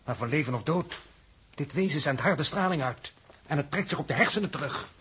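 Suspicious male crewman, formal severe delivery, rough husky higher voice, Netherlands Dutch, 1960s radio drama. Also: expressive, fairly quick, a bit bright, full character voice. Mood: grave, accusing. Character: Huub, suspicious stern accusing crewman.

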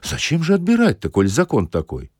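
A man's voice: mid-range voice, wildly swooping pitch, brisk, a little mellow, husky, dry recording, studio quality, voice pushed up, heavily acted. A man voicing a curious, credulous village watchman with rustic, eager speech in a satirical audiobook story. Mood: eager, amazed.

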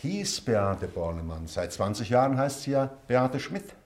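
An actor playing a weary, remorseful old male schoolteacher in a literary drama, pensive and measured, with weighty pauses. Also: medium pitch, sing-song. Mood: wry, resigned. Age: older. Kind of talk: German literary stage drama.

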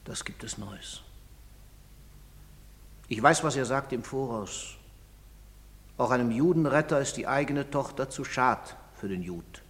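A man's voice: mid-range voice, unhurried, pauses often, fairly bright tone, lightly acted. An actor playing a guilt-ridden, hard-drinking father, bitter and brooding, in a declamatory theatrical stage style. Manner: brooding, declamatory, swinging from outbursts to muttered monologue. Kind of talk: theatrical stage drama acting in German. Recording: reverberant hall, noisy background hiss.

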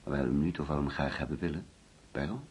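An actor in a radio drama playing a placid middle-aged husband and father, calm and soothing. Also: rumbling low, very fast, slight background noise. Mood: calm, reassuring. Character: placid older husband and father, calmly reassuring.

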